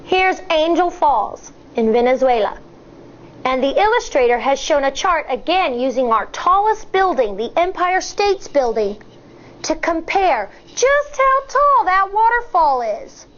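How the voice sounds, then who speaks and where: A woman, an elementary school teacher, reading a nonfiction picture book aloud to young children in a deliberate, explanatory way. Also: sits high, very animated, a bit bright. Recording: dull, muffled lo-fi sound.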